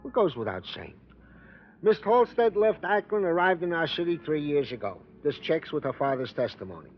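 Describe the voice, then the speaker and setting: A fussy, higher-voiced police sergeant. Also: male voice, very animated. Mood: ornate, self-pleased. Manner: florid, mock-formal, deliberately ornate. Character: wordy, fussy, fatherly male police sergeant. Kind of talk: 1950s radio character acting. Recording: muffled, noisy old radio recording.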